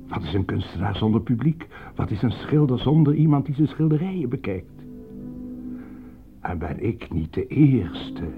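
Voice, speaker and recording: Radio play villain: mad scientist, gravelly, unhurried, pompously proud, music behind. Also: male voice, on the low side. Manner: grandiloquent, lecturing, self-satisfied. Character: grandiose male mad scientist, proud of his life's work. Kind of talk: radio drama acting. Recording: narrowband radio play recording with loud music.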